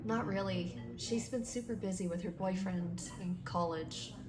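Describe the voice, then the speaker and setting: A woman playing a friendly, lonely high school senior in an audio drama, earnest and candid. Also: a little slow, background noise, natural voice. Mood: candid, confiding.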